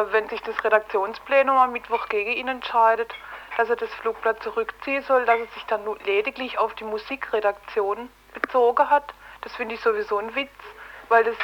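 Outspoken woman with a higher-pitched voice. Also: fairly bright tone, highs cut off, a little hiss or hum. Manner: outspoken, opinionated, colloquial with tag questions. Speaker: radio station editorial staff member calling in. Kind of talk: casual, argumentative radio discussion.

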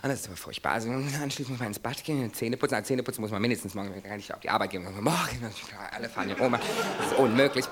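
A man's voice: mid-range voice, rapid-fire, noisy background. A man delivering a rambling comic monologue in a breathless, chatty, exaggerated run-on manner. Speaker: cabaret performer.

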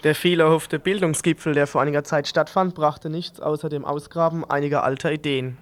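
Wry, higher-pitched man reading radio news. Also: fairly even intonation, a little fast. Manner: ironic, wry. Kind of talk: scripted news commentary.

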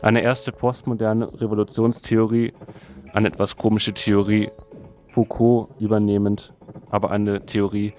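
A man presenting a semi-scripted radio introduction in an even, flat delivery. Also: on the low side, unhurried, faint music behind.

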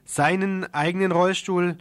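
Guy reading a radio news report, voice a bit high, formal and measured, dry room. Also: steady tone, dry recording.

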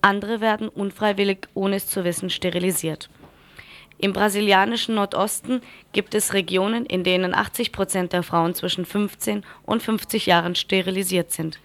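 A woman's voice: medium pitch, fairly even intonation, normal speed. A woman reading a scripted political report aloud for radio.

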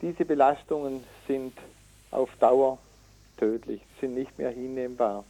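A man being interviewed as an environmental activist, speaking haltingly with frequent hesitations and self-corrections, in an indignant, emphatic way. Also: mid-range voice, fairly even intonation, warm tone, poor audio. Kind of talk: radio interview, reading out figures and arguing.

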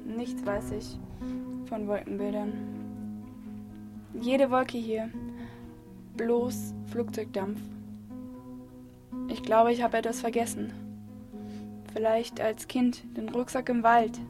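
A woman plays a melancholy young songwriter in a radio drama. She recites poetic lines in a wistful manner, with guitar music clearly behind her. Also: fairly high pitch, level tone, takes it slowly, long pauses. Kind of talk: poetic monologue in youth radio play.

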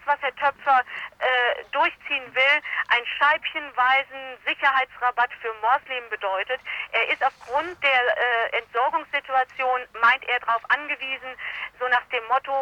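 A woman activist speaking haltingly and earnestly in a live radio interview over a telephone. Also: sits high, pushed and bright, muffled recording.

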